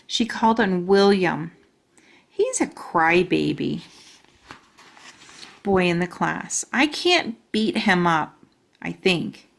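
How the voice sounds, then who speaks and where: Slow-paced woman reading a children's story. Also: fairly low pitch, lively intonation, gravelly. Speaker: storytime reader. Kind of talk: children's storybook read-aloud.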